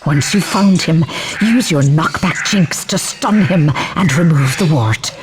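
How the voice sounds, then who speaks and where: A woman voicing a brisk, welcoming keeper of a magical pet shop in a video game. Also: sits low, lively intonation. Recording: noisy background with clicks.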